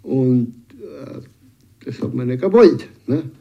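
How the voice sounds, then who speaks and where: A man recalling things haltingly in an informal interview. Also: mid-range voice, lively intonation, vocal fry, husky, highs cut off. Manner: hesitant, halting, dialect-tinged. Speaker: villager being interviewed. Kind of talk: spontaneous interview recollection.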